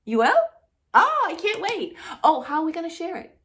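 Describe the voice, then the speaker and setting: A woman's voice: very high voice, very animated, normal speed, a bit bright. A woman hosting a children's storytime, speaking directly to young children in an encouraging, sing-song way with lots of little questions. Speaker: host of a children's storytime channel.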